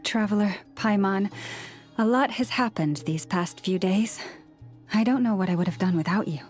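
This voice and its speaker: A woman voicing a gentle young priestess in a video game, soft-spoken, earnest, measured and formal. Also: medium pitch, over music, natural voice. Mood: grateful, solemn. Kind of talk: English video game voice acting.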